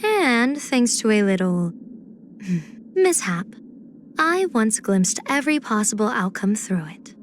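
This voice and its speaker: A woman's voice: mid-range voice, sing-song, takes it slowly, slight background noise. A woman voicing a playful, confident general and diviner in a video game, with poised, theatrical, teasing phrasing. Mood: wry, confident. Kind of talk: video game character voice lines.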